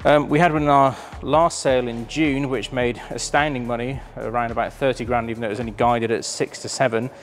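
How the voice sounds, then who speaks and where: A man's voice: medium pitch, steady tone, a little fast, few pauses, faint music behind. A man, chatty and enthusiastic, presenting a car auction preview in a large warehouse showroom. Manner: enthusiastic, chatty, filled with ums.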